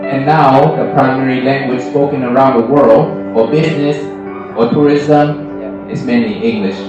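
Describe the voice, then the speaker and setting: Man, guest speaker, chatty and easygoing, mid pitch, far off the mic in a reverberant room. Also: steady tone, moderate pace, lo-fi sound. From Vietnam, raised in America. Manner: conversational, often tags 'right?' to check with listeners. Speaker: guest speaker at a school English club. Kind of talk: informal talk to students. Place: school hall. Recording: echoey room, voice from afar, music behind.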